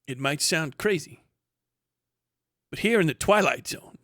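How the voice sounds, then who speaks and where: A man's voice: on the high side, animated, fairly bright tone, dry recording, clean studio sound. Man putting on a grandly ominous anthology TV narrator. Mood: mock ominous. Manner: portentous, deliberate, mock-serious. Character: theatrical male Twilight Zone style narrator, grandly ominous. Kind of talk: mock anthology television narration.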